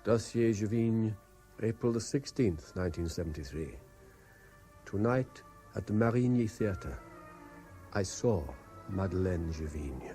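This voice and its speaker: Low-voiced, troubled British investigator. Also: male voice, expressive, mellow, soft background music. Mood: sombre, dictating. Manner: hesitant, stammering in talk, measured when dictating. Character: haunted, reluctant male private investigator, hesitant and troubled. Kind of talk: radio drama acting. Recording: 1970s radio drama broadcast.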